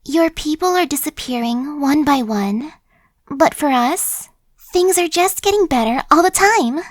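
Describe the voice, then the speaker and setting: Woman doing a playful zombie gal for asmr roleplay, real high voice, takes her time, wheedling like your pal. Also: expressive, a little slow, no echo. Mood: coaxing. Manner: casual slangy wheedling, buddy-like. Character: chatty, cajoling young female zombie, playful and sly.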